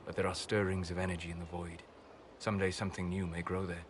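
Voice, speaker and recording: Grave male elf mage , actor-played, video game: measured, protective, solemn, over background ambience. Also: low-pitched, flat delivery, noisy background, natural voice. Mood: quiet sorrow. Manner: measured, solemn, deliberate phrasing. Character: grave elven mage, grieving and righteous, fiercely protective.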